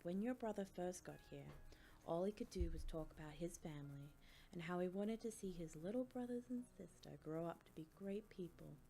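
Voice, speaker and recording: Actress as stern female academy professor: low, gravelly, measured and critical, audiobook drama, faint music beneath. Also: dark tone, poor audio. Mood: grave, disapproving.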